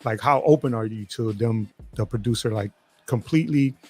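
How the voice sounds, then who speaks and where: A man's voice: mid-range voice, normal speed, husky, soft background music. A man hosting an interview, asking long, rambling questions with many restarts. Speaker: podcast host.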